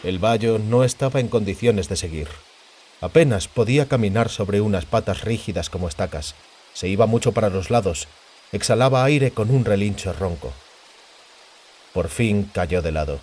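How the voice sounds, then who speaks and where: A man's voice: lowish voice, expressive, moderate pace, darkish tone. A man giving a dramatic scripted narration of a fantasy audiobook.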